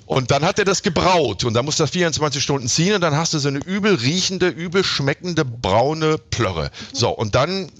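A man's voice: on the high side, expressive, moderate pace, few pauses, fairly bright tone. A man being interviewed, telling anecdotes in a casual, colloquial, wry way and acting out bits of dialogue. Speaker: interview guest, reporter who does self-experiments. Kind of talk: casual anecdotal storytelling in a conversation.

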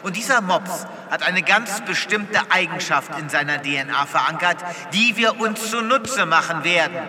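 Bright, high-voiced heroic space vet. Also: male voice, expressive, moderate pace, full character voice. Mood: dramatic. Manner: theatrical, earnest, declamatory. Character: earnest, heroic male space veterinarian with grand pathos. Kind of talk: comedic sci-fi audio drama acting. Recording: long echoing hall reverb.

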